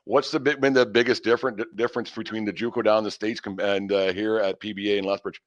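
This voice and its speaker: A man's voice: fairly low pitch, flat delivery, fast, no echo, highs cut off. A man in his fifties hosting a casual sports interview, chatty, rambling and joking at his own expense. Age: 50s. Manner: rambling, joking and self-deprecating. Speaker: sports show host and interviewer.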